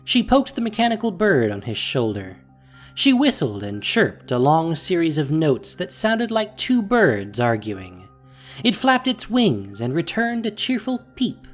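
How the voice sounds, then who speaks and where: A woman narrating a fantasy audio drama in an expressive, scripted storytelling style. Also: deep voice, a little slow, faint music behind.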